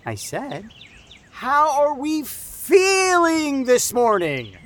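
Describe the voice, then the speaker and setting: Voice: high-pitched, huge pitch swings, drawn-out words, heavy background noise, exaggerated voice. A performer playing a peppy, teasing youth camp leader with exaggerated enthusiasm and playful sarcasm in an audio drama. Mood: playful rousing. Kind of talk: audio drama rally address.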